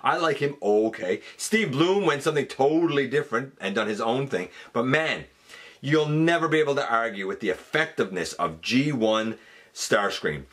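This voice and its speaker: A man's voice: medium pitch, lively intonation, normal speed, a bit bright. A man hosting a fan countdown, giving casual, enthusiastic and emphatic commentary. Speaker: host of a fan countdown show.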